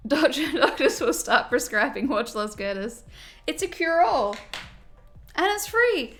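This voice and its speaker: A woman's voice: sits high, lively intonation, measured pace, fairly bright tone, soft background music. A woman streaming casually while doing her makeup, reading viewer comments aloud and reacting to them in a chatty, friendly way.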